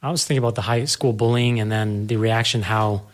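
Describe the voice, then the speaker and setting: Male panel guest, low voice, casual explanatory film talk. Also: fairly even intonation, a little fast.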